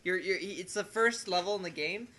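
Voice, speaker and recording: A man in a casual chat among friends, rambling and arguing his point in an excitable way. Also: high-pitched, normal speed, a little hiss or hum. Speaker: podcast guest.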